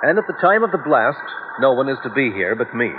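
Quiet, precise older explosives expert played by a man. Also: medium pitch, sing-song, quick pace, lightly acted. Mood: assured, courteous. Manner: easy, precise, formal. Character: quiet, confident, fastidious gray-haired male explosives expert. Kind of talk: old-time radio acting. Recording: noisy, tinny vintage radio recording.

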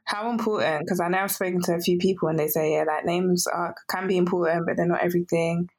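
A woman's voice: fairly low pitch, fairly even intonation, quick pace, darkish tone, soft background music. A woman of Nigerian heritage hosting an interview, asking curious, friendly questions in a casual way. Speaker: podcast host.

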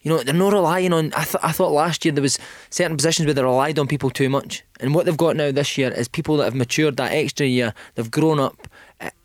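A Scottish man giving quick, emphatic football opinions in a studio radio discussion. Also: a little high, no echo. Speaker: football pundit.

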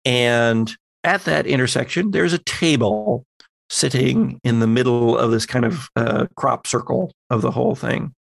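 Gravelly man running a tabletop game. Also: mid-range voice, a little slow, no echo. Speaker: game master. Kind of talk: narrating and refereeing a tabletop horror roleplaying game.

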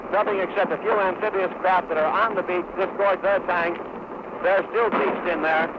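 A man working as a war correspondent, describing what he sees in live radio commentary from a craft offshore in the 1940s. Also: sits high. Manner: descriptive, observational. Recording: muffled, very noisy 1940s field recording.

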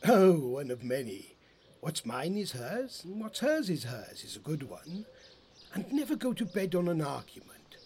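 A man acts out an elderly husband in an audio drama, bumbling and blunt with a joking streak. His pitch swings about in a lively way, over some background noise with birdsong. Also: measured pace. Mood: jovial, teasing. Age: older. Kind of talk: audio play acting.

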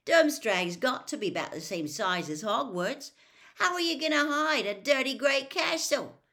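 A woman's voice: fairly low pitch, wildly swooping pitch, measured pace, heavily acted. A woman voicing a grumpy, blunt teenage boy who scoffs in a storybook reading.

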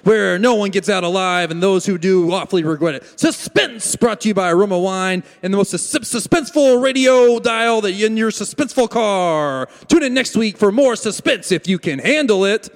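Man acting a high-voiced, reverberant radio-play character. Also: lively intonation, normal speed, few pauses. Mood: ominous grandiose. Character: flustered apologetic messenger, also grandiose radio announcer. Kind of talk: parody of old radio drama. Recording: long hall-like reverb.

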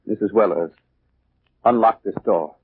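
An actor playing a cold, domineering murderous husband in a 1940s radio drama, curt and bullying, on a muffled old recording. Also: male voice, lowish voice, measured pace, slightly rough. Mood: stern, threatening.

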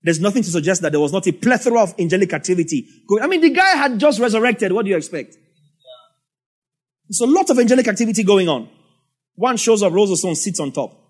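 Man, nigerian english accent, pastor, sermon, high voice, chatty storytelling asides. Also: expressive, fast, slightly rough.

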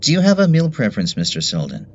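An actor playing a prim, officious bureaucrat in a radio play, formal and pedantic with stiff politeness. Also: male voice, mid-range voice, huge pitch swings, quick pace, a little mellow, muffled recording. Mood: courteous, businesslike. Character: prim, officious male bureaucrat running a facility's intercom service.

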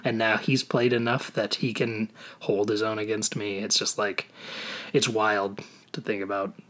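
A man's voice: fairly low pitch, level tone, a little slow, a bit bright. A man in his thirties hosting a podcast in casual chat, upbeat and steering the talk with questions. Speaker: podcast host.

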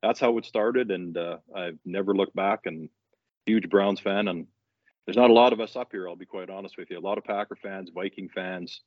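An older man from central Canada speaks in a very low voice, easygoing and chatty as a podcast guest. The sound is dry and a little dull, like a lo-fi home recording. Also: moderate pace, dry recording. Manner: easygoing, storytelling. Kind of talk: casual podcast chat.

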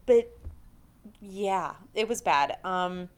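A woman co-hosting a podcast, casually recapping a TV show in an animated, conversational way. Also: medium pitch, extremely slow. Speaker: podcast co-host.

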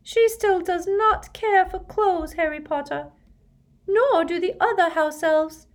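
A woman voicing a small, eager male house elf for a storybook, squeaky and deferential, talking like a devoted servant. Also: takes it slowly. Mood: sad. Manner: deferential, speaks of himself in third person.

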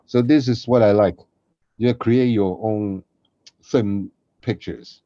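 A man with a dark, mellow voice speaks casually in halting, non-native phrasing. The recording is dull and lo-fi, with noticeable room echo around him. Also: lowish voice. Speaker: podcast co-host, radio play performer. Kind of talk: casual chat.

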